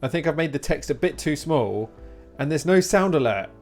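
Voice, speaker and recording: A man's voice: fairly high pitch, lively intonation, moderate pace, a little mellow. A man streaming a game, chatting informally with rambling explanations. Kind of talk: casual live-stream chat while gaming. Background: game music.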